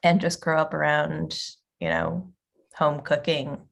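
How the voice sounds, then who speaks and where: Low gravelly woman. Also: sing-song, a little slow. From Boston area, USA. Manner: rambling, many fillers and restarts. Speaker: restaurant owner, guest. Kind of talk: casual interview conversation.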